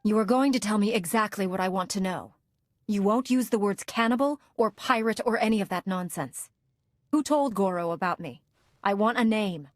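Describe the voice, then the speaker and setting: A woman's voice: mid-range voice, moderate pace, dry recording, clean studio sound, natural voice. A woman acting a stern, commanding heroine with firm, clipped delivery in video game dialogue. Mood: demanding.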